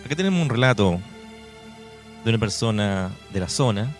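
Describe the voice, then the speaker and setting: A man's voice: fairly low pitch, lively intonation, moderate pace. A man with a Chilean accent co-hosting a radio show, commenting in a conversational way. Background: music.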